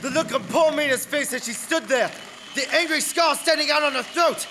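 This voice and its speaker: A man's voice: very high voice, normal speed, bright, forceful tone, slight background noise, poor audio. A man playing a haunted young husband in a radio play, recounting horror with dramatic intensity. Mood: horrified. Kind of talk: radio play narration in character.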